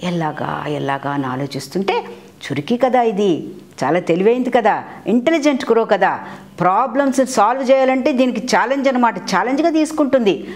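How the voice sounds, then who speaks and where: A woman's voice: a little high, expressive, moderate pace, darkish tone. A woman with an Indian accent tells a story to children like a teacher, asking them questions, repeating phrases and dropping in English words. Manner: mixes in English words and glosses.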